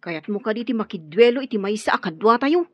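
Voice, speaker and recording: A woman's voice: medium pitch, expressive, a little fast, slightly rough, dry recording. A woman voicing a young male henchman in a radio drama, obedient to the boss and cocky about fighting. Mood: confident. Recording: dull lo-fi audio with faint music.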